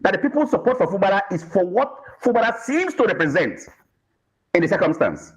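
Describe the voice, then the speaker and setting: A man's voice: sits high, big pitch swings, brisk, poor audio. A man with a Nigerian accent giving emphatic political commentary, repeating phrases to add weight. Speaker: political analyst panel guest.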